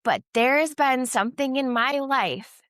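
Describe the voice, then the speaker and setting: A young woman with a stuffy, nasal voice from a lingering cold talks earnestly on a podcast. She is candid and faith-driven and often restarts her sentences. The sound is smooth and dry, close to the mic. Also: high-pitched, unhurried, fairly bright tone, no room sound. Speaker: podcast co-host. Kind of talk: candid casual chat.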